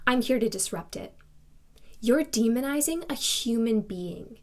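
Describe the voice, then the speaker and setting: Casting: woman to play indignant young woman in contemporary drama, slightly high voice, confrontational and articulate. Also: lively intonation, unhurried, a little mellow. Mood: indignant, accusing. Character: defiant, indignant twenty-two-year-old woman confronting a reporter.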